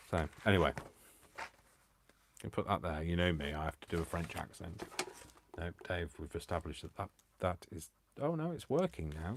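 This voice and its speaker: Male crafting host, very low voice, self-mocking running chatter, faint noise floor. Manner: self-mocking, talks to himself. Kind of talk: live crafting stream, chatty self-talk.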